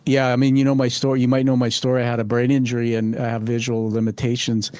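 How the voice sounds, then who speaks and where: A male podcast host talking conversationally with a guest. He is effusive and encouraging, and wanders into asides as he goes. The sound is dry, with very little room on it, and his pitch is average for a man. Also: little pitch movement, fast, darkish tone, clear, resonant tone, dry recording. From born on a military base in the UK. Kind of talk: conversational interviewing.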